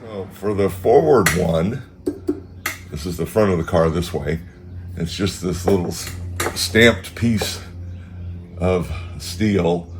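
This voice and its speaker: Slow, low-voiced stuffy man in a garage. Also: background noise. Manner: relaxed, chatty, thinking aloud with fillers. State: stuffy nose from allergies. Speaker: car channel host. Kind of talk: casual how-to garage vlog. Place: home garage beside a car.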